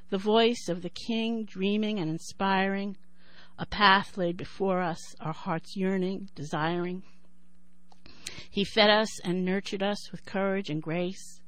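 A woman in her sixties with a New York accent who talks thoughtfully with many pauses and then reads her poem in a measured rhythm. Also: mid-range voice, no room sound. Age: 60s. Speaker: poet and writer. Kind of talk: interview and poetry reading.

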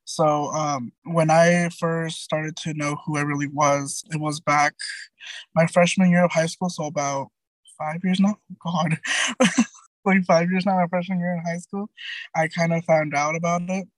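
Young guy about 20, kinda high light voice, says like and um a lot, dry close sound. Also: fairly even intonation, a little slow, no echo, low-quality recording. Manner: chatty, full of "like" and "um", restarts. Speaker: interview guest. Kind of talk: casual personal interview.

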